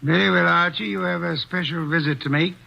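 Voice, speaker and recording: An actor playing a stout, commanding older male detective in a 1940s radio play, asking formal, measured questions, on a dull old radio recording. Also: high voice, fairly even intonation, vocal fry. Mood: calm, probing.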